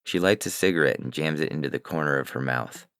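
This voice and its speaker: A man's voice: rumbling low, fast talker, fairly bright tone, clear, resonant tone, dry recording, clean studio sound. A man narrating a scripted first-person science fiction story as its reader. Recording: music bed under the voice. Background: music.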